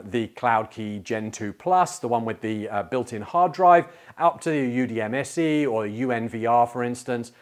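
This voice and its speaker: A man in his forties presents a product to camera in an informal, explanatory style. His voice sits at a middle pitch, and he pauses hesitantly as he works through each point. He is recorded on a lapel mic in a small indoor studio.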